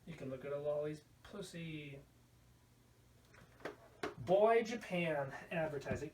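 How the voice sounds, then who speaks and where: A man hosting a casual video podcast, rambling through a show-and-tell with an irreverent, crude sense of humor. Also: mid-range voice. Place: indoor room at home. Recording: roomy, distant mic, faint background noise.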